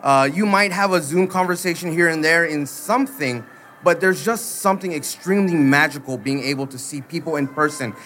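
A man's voice: a little high, fairly quick, slight background noise. Chatty man hosting at a convention. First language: English. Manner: chatty, laughs often, quotes people in animated voices. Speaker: podcast host. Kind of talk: casual interview. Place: busy convention floor.

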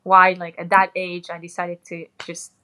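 A young woman from Belgium, a professional golfer being interviewed, answering in a relaxed, chatty way with many fillers. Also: fairly low pitch, normal speed.

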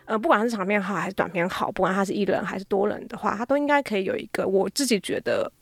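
A woman's voice: mid-range voice, very fast, creaky, dry recording, faint music behind. A woman with a Taiwanese accent chatting casually as an interview guest, rambling and circling back over her phrases. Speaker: podcast guest, audio drama app founder.

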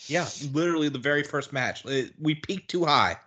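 A man's voice: on the high side, animated, moderate pace, lo-fi sound, a little hiss or hum. A man appearing as a guest on a casual podcast, talking bluntly and swearing freely.

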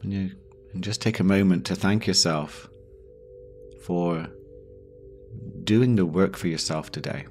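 Deep voiced guy doing a guided meditation, slow n gentle w lots of pauses, soft music behind. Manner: slow, pausing, gentle instruction. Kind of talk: guided yoga nidra meditation.

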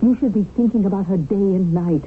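An actress playing a poised, scheming, seductive widow in a 1970s radio drama, with a self-assured, insistent manner. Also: female voice, mid-range voice, brisk, natural voice. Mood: seductive, urging. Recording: tinny, noisy old radio broadcast.

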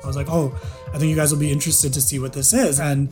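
Higher-pitched young man chatting casually. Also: level tone, quick pace, music in the background. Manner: informal, peppered with "like". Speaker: interview guest. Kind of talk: casual chat, recounting an anecdote.

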